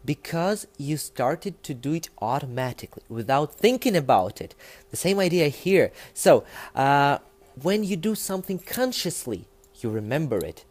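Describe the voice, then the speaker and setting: A young man with a Russian accent, a native Russian speaker, talking to the camera in a casual, animated and emphatic way, with many fillers. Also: fairly high pitch, moderate pace. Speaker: language learning vlogger.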